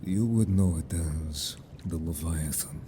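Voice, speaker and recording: A man's voice: deep voice, slow pace, background noise. A man playing a stern but caring, protective merman in a fantasy audio drama, speaking firmly and formally. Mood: solemn. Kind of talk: scripted audio drama acting.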